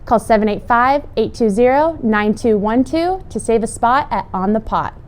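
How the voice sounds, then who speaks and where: A woman's voice: on the high side, animated, moderate pace, darkish tone. A young woman reading scripted event announcements as a student news presenter. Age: young adult.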